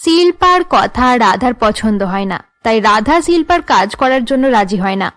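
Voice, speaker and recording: A woman's voice: fairly high pitch, lively intonation, normal speed, fairly bright tone. A woman reading scripted narration for a cartoon story, as the storyteller between the characters' scenes.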